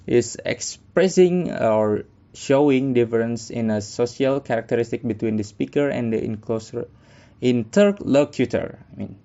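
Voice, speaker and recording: Halting man presenting in class. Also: medium pitch, warm tone. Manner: halting, many fillers and restarts, reading notes. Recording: dull lo-fi recording.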